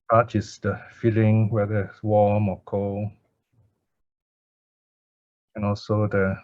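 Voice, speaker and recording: A low-pitched man leads a meditation session at a very slow pace. He speaks in measured, short phrases with plenty of pauses, as a teacher guiding practice. The recording is dry and somewhat dull. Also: no echo. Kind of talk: slow guided meditation instruction and Pali chanting. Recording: dull lo-fi audio.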